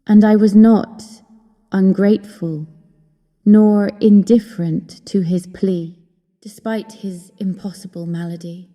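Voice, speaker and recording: An actress playing a frightened, remorseful young captive woman, narrating her ordeal in a hushed, solemn, deliberate way for a gothic audio drama. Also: medium pitch, lightly acted. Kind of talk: dramatic first-person narration. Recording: long hall reverb, music underneath. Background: music.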